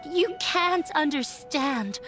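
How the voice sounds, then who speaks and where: Game role: defiant runaway daughter, high voice, measured and formal, earnest resolve. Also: female voice, lively intonation, a bit bright, soft background music, full character voice. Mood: firm, hurt. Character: earnest, defiant young runaway daughter confronting her strict father. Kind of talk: video game voice acting.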